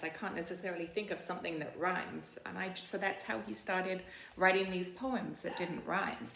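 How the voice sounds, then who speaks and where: A woman reading a picture book aloud like a teacher, in expressive storytelling with casual, simply worded asides and deliberate, phrase-by-phrase delivery. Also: medium pitch, creaky. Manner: explains to young listeners in simple, informal words. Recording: roomy, echoey sound.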